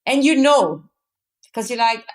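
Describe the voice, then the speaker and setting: A woman from France with a mixed French accent, talking expressively in halting non-native English as an interview guest. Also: a little high, lively intonation. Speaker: podcast guest, movement artist. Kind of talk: casual conversational interview.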